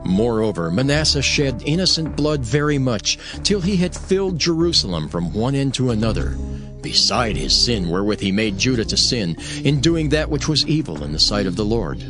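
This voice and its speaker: A man's voice: medium pitch, sing-song, moderate pace. A man narrating dramatized scripture as a solemn storyteller. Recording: voice over prominent music. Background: music.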